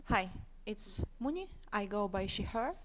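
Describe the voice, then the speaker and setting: A woman listener leaving a heartfelt, earnest and halting personal voice message. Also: medium pitch, lively intonation, fairly bright tone. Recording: faint noise and clicks.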